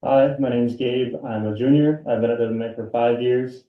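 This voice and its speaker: A young man from Massachusetts, a high school junior, giving a brief, plain introduction. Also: fairly even intonation, brisk. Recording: muffled echoey room from far off.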